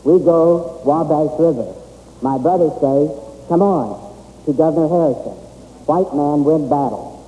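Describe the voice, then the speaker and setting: A man's voice: a little high, natural voice. A man playing a stoic warrior from history, speaking in terse, broken English on an old radio show. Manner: clipped, terse, halting. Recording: dull old radio recording, echoey hall.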